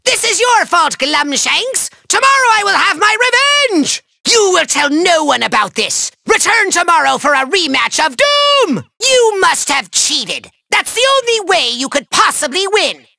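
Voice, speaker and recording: Casting: pompous little evil overlord for a cartoon game, extremely high piercing voice, gravelly edge, melodramatic and petulant. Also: male voice, big pitch swings, measured pace, few pauses, bright, forceful tone, no room sound, clean studio sound, heavily acted. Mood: outraged, petulant. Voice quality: shrill, gravelly. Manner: bombastic, melodramatic, mocking. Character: small, megalomaniacal, gloating male evil overlord, childishly petulant. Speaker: voice actor. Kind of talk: theatrical cartoon villain game dialogue.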